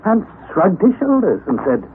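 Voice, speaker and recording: An actor playing an earnest young nephew, narrating an old-time radio drama in a measured, precise, emphatic way. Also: male voice, high-pitched, wildly swooping pitch. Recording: noisy, tinny vintage radio recording.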